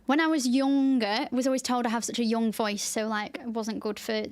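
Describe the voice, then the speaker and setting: A woman's voice: on the high side, fairly even intonation, moderate pace, a little mellow, dry recording. A woman with a Lancashire accent, hosting a podcast in open, chatty talk and laughing easily. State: tired after a 24-hour stream. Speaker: podcast host. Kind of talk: casual chat.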